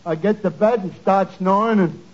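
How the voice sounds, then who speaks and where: An actor playing a hapless, dim-witted barfly, earnestly complaining in a doltish, hesitant way in 1940s radio comedy. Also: male voice, sits high, moderate pace. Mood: glum. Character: dim-witted, hapless working-class barfly, sincere and slow. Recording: muffled, noisy 1940s radio recording.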